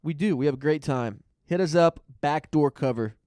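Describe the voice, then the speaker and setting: Higher-voiced man promoting a podcast, very dry. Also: moderate pace, warm tone, clear, resonant tone, dry recording. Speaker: sports podcast host. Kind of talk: casual podcast promo read.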